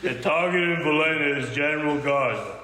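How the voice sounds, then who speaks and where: A man with a bright, forceful voice reads his part in an amateur table read and banters casually. The room is echoey, with a long reverberant tail. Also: fairly high pitch, lively intonation, a little fast, a little hiss or hum. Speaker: podcast co-host reading a part.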